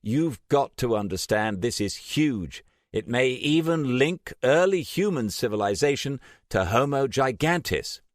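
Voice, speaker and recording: A man's voice: mid-range voice, expressive, unhurried, dry recording, clean studio sound, pitched above the performer's own voice, close to an everyday voice. A man voicing a driven woman archaeologist who speaks firmly and insistently. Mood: conciliatory. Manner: firm, terse and insistent.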